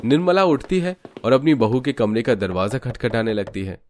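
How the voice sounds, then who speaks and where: A man narrating an animated moral story in a scripted storytelling style. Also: mid-range voice, normal speed, a little hiss or hum.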